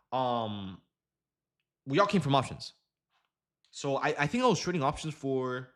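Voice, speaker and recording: A man's voice: mid-range voice, a bit bright. A young man talks through his trades on a livestream in a loose, slangy way. He keeps breaking off into fillers and restarts while he explains, never settling into a set pace. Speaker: day trading streamer. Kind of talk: casual livestream chat while trading.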